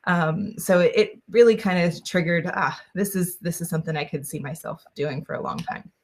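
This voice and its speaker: Woman guest chatting on a podcast, lots of ums, kinda low voice. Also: moderate pace. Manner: chatty, many fillers and restarts. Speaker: guest, engineer. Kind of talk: casual interview answers.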